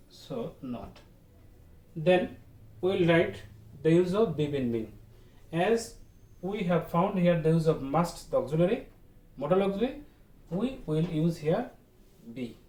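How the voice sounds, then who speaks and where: A man with a Bangladeshi accent teaches in a high, very animated voice. He repeats key phrases and puts questions that he answers himself, in the manner of a school lesson. The sound is echoey, as from a room. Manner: didactic, repetitive, asks and answers own questions. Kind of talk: online grammar lesson. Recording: roomy echo, distant mic, faint noise.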